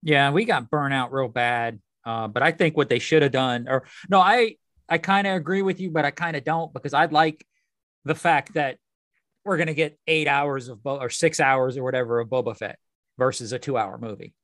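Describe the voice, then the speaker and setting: Man, medium-pitched voice, casual podcast co-host, tentative conversational delivery, very dry recording. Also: normal speed, a bit bright, dry recording. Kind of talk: casual chat about films.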